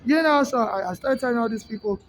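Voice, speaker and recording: A woman rambling through a long personal monologue, halting and restarting often. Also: fairly high pitch, slight background noise, poor audio.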